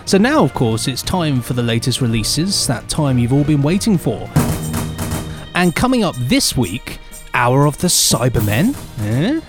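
A man's voice: mid-range voice, measured pace, over music. A man co-hosting an audio drama podcast in casual, jokey chat. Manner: jokey, lively, trailing off into mumbles. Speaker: podcast co-host.

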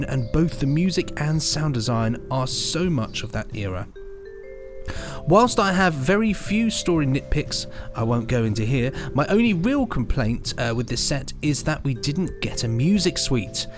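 A man's voice: a little high, very animated, measured pace. A man co-hosting a podcast, chatting and reading aloud in a presenter's style. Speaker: podcast co-host. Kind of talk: podcast chat and reading listener mail aloud. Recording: music bed behind. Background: clear music.

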